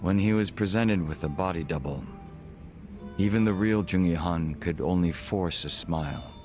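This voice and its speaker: A man voicing a refined, reserved gangster in a video game cutscene, speaking in formal, measured, polite phrases. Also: deep voice, over music, natural voice. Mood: somber, composed. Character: refined, reserved Korean gangster, a former body double.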